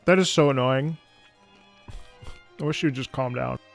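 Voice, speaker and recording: A man's voice: medium pitch, a little slow, gravelly, no room sound. A man giving analytical live commentary on a video game and its music, as a reactor.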